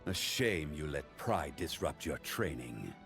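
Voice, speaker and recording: Game role: solemn guardian ninja, very deep and unhurried, formal, calm authority. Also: male voice, vocal fry, faint music behind, heavily acted. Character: calm, honorable male ninja guardian of balance. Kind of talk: scripted game character voice lines.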